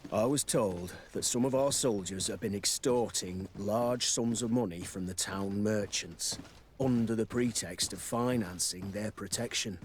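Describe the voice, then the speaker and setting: A man's voice: lowish voice, a little slow, slight background noise, natural voice. An actor playing a guarded, serious townsman in a video game cutscene. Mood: formal, grave.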